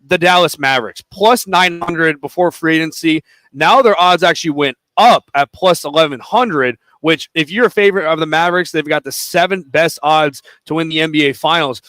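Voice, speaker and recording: A man's voice: on the high side, moderate pace, few pauses, fairly bright tone, dry recording. A man hosting a sports betting radio show, steadily setting up topics with odds and questions for his co-host over a studio microphone.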